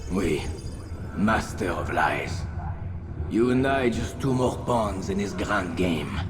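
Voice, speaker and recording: An actor playing a scheming, haughty Templar commander in a video game, with a bitter, theatrical and taunting delivery. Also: male voice, deep voice, animated, slow pace. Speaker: villain. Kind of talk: dramatic video game cutscene acting. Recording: music behind the voice.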